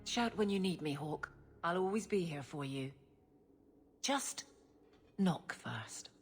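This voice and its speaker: An actress playing a blunt, earnest woman guard captain who gets flustered and halting, in cinematic video game dialogue. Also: fairly low pitch, animated, breathy edge, soft background music, full character voice. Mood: warm, grateful.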